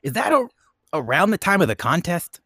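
Animated man with a bright voice. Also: high-pitched, a little fast, breathy edge, no room sound, clean studio sound. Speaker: podcast co-host. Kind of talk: casual chat.

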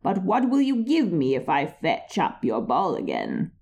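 A man doing a slow, coaxing frog. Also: a little high, huge pitch swings, gravelly, darkish tone, exaggerated voice. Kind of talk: storybook reading.

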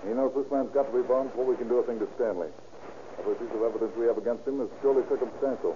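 An actor playing a shrewd, businesslike police captain with a pointed, authoritative manner, in 1930s radio drama style. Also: male voice, medium pitch, racing, lightly acted. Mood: pressing, stern. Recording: muffled, noisy old radio recording.

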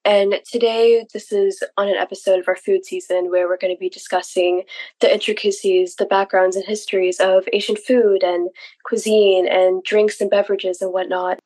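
A woman's voice: mid-range voice, flat delivery, moderate pace, darkish tone. A woman from California, hosting a podcast in a casual, friendly way with a few fillers. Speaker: podcast host.

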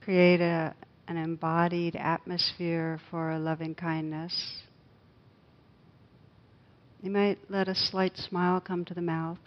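A woman meditation teacher speaks very slowly and gently, leaving long pauses as she guides a loving-kindness practice. Her voice sits on the low side and is hushed. The recording is a little dull and muffled. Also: little pitch movement.

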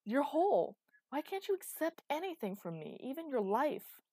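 A woman's voice: mid-range voice, a little fast, dark tone, no echo, clean studio sound, voice pushed up, natural voice. A woman voicing a small, desperate, defiant male smith who is lovelorn, in a storybook narration.

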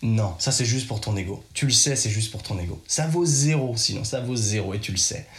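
A man's voice: lowish voice, lively intonation, a little fast, echoey room. A French man giving casual advice in a chatty, direct way, speaking straight to the listener. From France. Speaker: podcast host.

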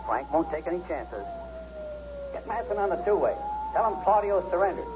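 An actor playing a harried, authoritative police commissioner, urgent and commanding, in a 1970s radio drama. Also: male voice, high-pitched, big pitch swings, moderate pace. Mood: reassuring, evasive. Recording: muffled, noisy old radio broadcast.

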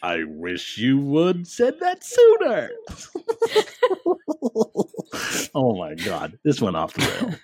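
A man's voice: medium pitch, huge pitch swings, takes it slowly, pauses often, darkish tone, slightly rough, no echo, clean studio sound. A man hosting a podcast in casual, jokey chat, laughing often and tripping over his words. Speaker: podcast host.

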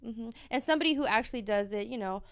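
Woman from Dallas, clear smooth voice, chatty guest who hedges a lot. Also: on the high side, fast, fairly bright tone. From Dallas, Texas. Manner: chatty, hedging with fillers. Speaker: guest, host of an adult content show. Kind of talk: casual interview chat.

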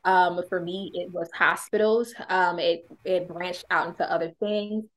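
A woman guest on a podcast with a stutter, telling her story in a candid, animated way and laughing often. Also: on the low side, unhurried, low-quality recording. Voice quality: has a stutter. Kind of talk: casual conversational interview.

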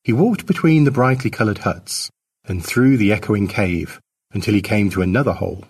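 A man's voice: fairly low pitch, moderate pace, a little mellow, husky. A man reading his own short story as a scripted narration.

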